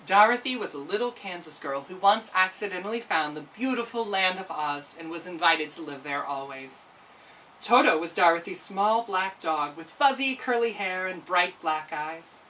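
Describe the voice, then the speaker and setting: A woman reading a children's story aloud in an expressive narrating style. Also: fairly low pitch, normal speed, far from the mic, slight background noise, rough recording. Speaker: storytime reader.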